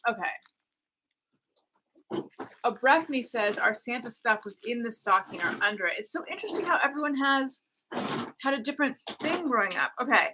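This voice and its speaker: A woman's voice: fairly high pitch, animated, moderate pace, long pauses, background noise. A woman hosting a casual livestream at home, chatting and reading viewer comments aloud while wrapping gifts. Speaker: host.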